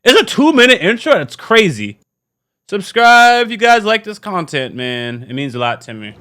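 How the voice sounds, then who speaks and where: A man hosting a casual, unscripted reaction video, talking in an animated, slangy way and often repeating himself. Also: high-pitched, normal speed, clean tone. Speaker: YouTube reaction host.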